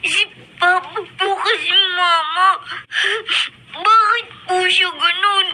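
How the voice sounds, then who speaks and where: A woman's voice: very high-pitched, sharp tone, a little hiss or hum, full character voice, rough recording. A woman playing a lonely, tearful young child who pleads politely and plaintively in a Filipino radio drama. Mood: tearful, hurt.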